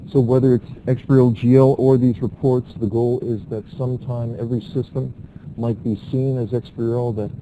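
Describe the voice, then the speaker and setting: An American man giving a university lecture, explaining step by step with frequent filler pauses. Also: mid-range voice, level tone, mellow, a little hiss or hum, poor audio.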